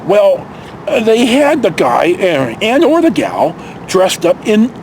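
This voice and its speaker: An older American man with a high voice that swings widely in pitch tells stories casually and folksily, wandering off on asides. He is recorded outdoors, with faint ambient noise and vehicles behind him. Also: wildly swooping pitch, slow pace, darkish tone. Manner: folksy, digressive, mild swearing. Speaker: vlog host telling personal stories. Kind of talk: casual rambling storytelling. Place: outdoors in a park.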